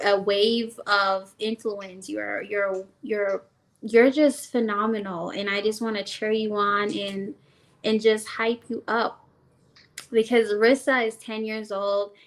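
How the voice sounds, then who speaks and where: Jamaican woman, talk show guest, unhurried speech, effusive. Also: mid-range voice, low-quality recording. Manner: slow, warm praise, effusive. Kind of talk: casual chat show talk.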